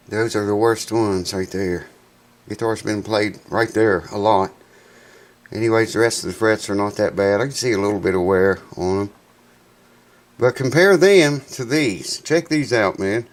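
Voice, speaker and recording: A man hosting a guitar repair vlog in his workshop, talking in a folksy, enthusiastic way and sounding congested with a stuffed-up nose. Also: lowish voice. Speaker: guitar repair channel host.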